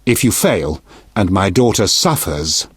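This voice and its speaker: An actor playing a stern, shrewd middle-aged king in a video game, speaking formally and deliberately with a husky, commanding voice. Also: deep voice, big pitch swings, no room sound, studio quality, heavily acted. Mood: grave, threatening. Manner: formal, measured, imperious, deliberate diction. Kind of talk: scripted video game dialogue.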